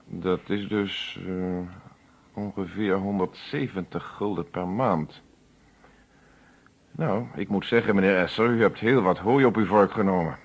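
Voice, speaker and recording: An actor playing a stern, probing police inspector in a radio play, questioning in a formal, sceptical, methodical way. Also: male voice, low voice, measured pace, breathy edge, heavily acted. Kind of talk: Dutch radio play acting. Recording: dull, lo-fi old radio recording.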